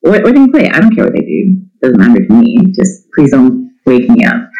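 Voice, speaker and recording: A man's voice: mid-range voice, wildly swooping pitch, moderate pace, a little mellow, highs cut off. A middle-aged man, chatting casually as an interview guest in a candid, irreverent, self-deprecating way. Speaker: interview guest, homeschooling parent. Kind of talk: casual chat.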